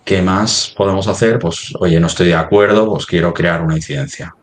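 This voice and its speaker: Very deep-voiced man giving a demo. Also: a little hiss or hum. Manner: halting, thinking aloud while working on screen. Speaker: software demo presenter. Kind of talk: live webinar product demonstration.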